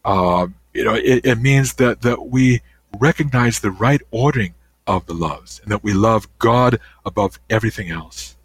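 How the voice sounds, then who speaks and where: An older man, a guest author, answering interview questions in a thoughtful, halting way with many restarts. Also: fairly low pitch, breathy edge, no echo.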